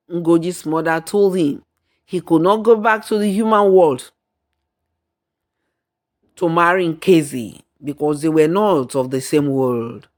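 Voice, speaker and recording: A woman narrating a folktale in a deliberate, dramatic storyteller's manner. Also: lowish voice. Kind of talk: scripted folktale narration with acted dialogue and song.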